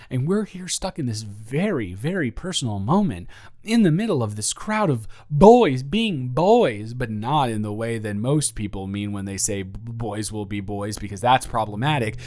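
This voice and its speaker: A man's voice: fairly high pitch, darkish tone. A man playing a flustered, self-deprecating young man who rambles and stammers in an improvised audio roleplay monologue. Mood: baffled, animated. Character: flustered, rambling young man nervously confessing to his roommate.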